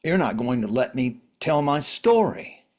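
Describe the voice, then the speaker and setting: A man playing a world-weary, wry survivor in a drama reading, talking in a halting, conversational way. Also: medium pitch, sing-song, a little mellow. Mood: reflective.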